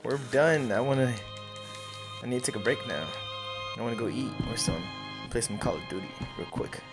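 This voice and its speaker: A young man giving casual, profane and excitable commentary while streaming a game on a desk microphone. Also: mid-range voice, fairly quick. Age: young adult. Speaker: gaming streamer. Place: small room at home. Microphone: close desk microphone with headset. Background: loud game music and sounds.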